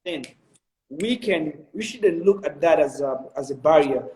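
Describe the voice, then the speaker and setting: A man's voice: on the high side, animated, measured pace, darkish tone, reverberant, a little hiss or hum. A young man in his twenties with a Malawian accent, answering interview questions in an earnest, motivational way, with many ums and restarts. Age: 20s. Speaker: interview guest, video director.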